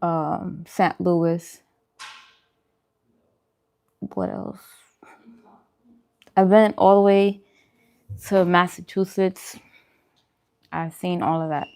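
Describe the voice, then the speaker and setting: A woman with a slightly low voice talks informally to her viewers. She is chatty and colloquial, in the manner of a casual eating livestream where she answers the chat as she goes. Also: lively intonation, extremely slow, vocal fry, long pauses, a little mellow. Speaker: vlogger hosting a mukbang livestream.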